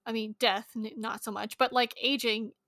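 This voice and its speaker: A woman co-hosting a podcast, chatting informally about books and thinking aloud in halting, pieced-together sentences. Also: a little high, little pitch movement, pushed and bright, dry recording, clean studio sound. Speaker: podcast co-host. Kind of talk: casual book-club chat.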